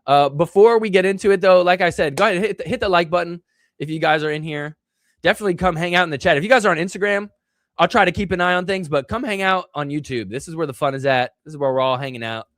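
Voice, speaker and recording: A chatty man hosts a casual live stream and rambles on with plenty of filler words. His voice sits a little high for a man, and it is still recovering from illness. The sound is dry and close to the mic. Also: fast, fairly bright tone, no room sound. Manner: rambling, filler-heavy, reads chat aloud. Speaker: host of a live stream show.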